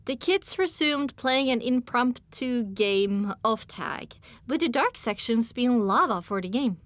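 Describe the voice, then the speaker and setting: Female audiobook narrator, higher register, scripted first-person storytelling, close dry recording. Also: lively intonation, a little slow, a bit bright, no room sound.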